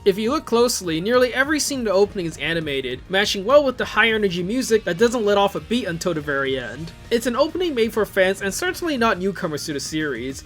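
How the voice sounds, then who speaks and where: A man giving casual, enthusiastic video commentary in an excitable, conversational way, full of exclamations and asides. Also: high-pitched. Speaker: video host commenting on visual novel openings. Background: music playing behind the voice.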